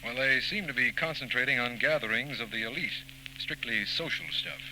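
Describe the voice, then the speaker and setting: A man acting an earnest district attorney in a 1940s radio mystery. His voice is medium-pitched and formal, with scripted period delivery. Clear noise covers the old recording. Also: normal speed, natural voice, rough recording. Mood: knowing, amused. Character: earnest, formal male district attorney, detective's puzzled friend.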